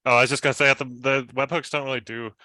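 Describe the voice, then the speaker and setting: A man's voice: mid-range voice, little pitch movement, rapid-fire, pushed and bright, no room sound. A man giving a casual technical explanation among colleagues, in informal phrasing. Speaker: software engineer in a team meeting.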